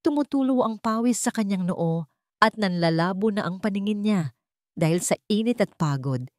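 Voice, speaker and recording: A woman's voice: medium pitch, lively intonation, moderate pace, dry recording, clean studio sound. A woman narrating a scripted romance drama in a theatrical, suspenseful way. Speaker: story narrator.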